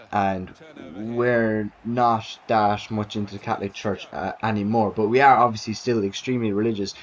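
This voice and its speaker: Young Irish guy vlogger, low-pitched, rambling delivery, dull lo-fi recording. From Ireland. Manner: rambling, repeats himself, frequent restarts and fillers. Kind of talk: casual talk to camera. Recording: dull lo-fi sound.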